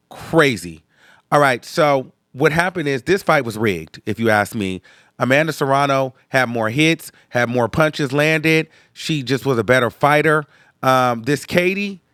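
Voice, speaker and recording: A young man hosting a casual reaction video, sounding nasal and congested from sinus trouble, chatty and animated. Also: a little high, dry recording, clean studio sound. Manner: chatty, animated, tag questions like okay. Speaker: host.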